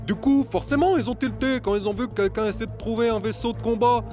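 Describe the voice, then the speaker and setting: A man's voice: extremely high pitch, fast, mellow, faint music behind. A man playing an irritable, sarcastic alien pilot in a hurry, brusque and grumbling, in a French sci-fi audio drama. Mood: exasperated.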